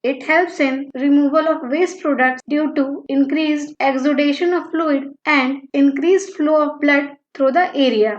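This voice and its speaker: A woman with an Indian accent delivers a scripted lesson in a high voice. Her instructional delivery is very slow. The recording is roomy and echoey. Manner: mixes Hindi and English, instructional. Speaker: physiotherapy lecturer. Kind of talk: scripted educational narration. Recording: dull lo-fi audio, roomy echo.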